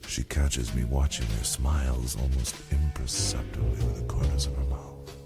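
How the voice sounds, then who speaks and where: A woman performing a watchful, intimate narrator in a slow literary monologue for experimental radio. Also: a bass voice, steady tone. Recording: loud music under the voice.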